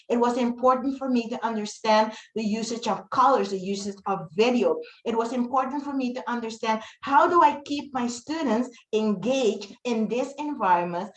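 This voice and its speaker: A woman in her fifties with Papiamento as her first language, speaking earnestly on a webinar panel with frequent pauses. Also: a little high, roomy sound, poor audio. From Dutch Caribbean. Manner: earnest, fluent, many "um" pauses. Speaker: webinar panelist, teacher trainer.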